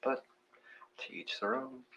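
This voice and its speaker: A man casually giving step-by-step guided meditation instructions in an informal, gently encouraging way. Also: slow pace, slight background noise, low-quality recording.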